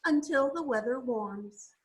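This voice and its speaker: Woman as a chirpy, high-voiced little bird teacher. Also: animated, measured pace, darkish tone, low-quality recording. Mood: delighted, marveling. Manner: chirpy, theatrical, schoolteacherly. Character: little yellow female bird teacher, cheery and grandly enthusiastic.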